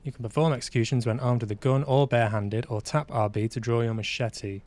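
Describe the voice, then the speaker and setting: A man's voice: mid-range voice, fairly even intonation, a little fast, darkish tone. A man giving casual live game commentary, muttering to himself and reading prompts aloud. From Manchester, UK. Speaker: game streamer. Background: faint game music.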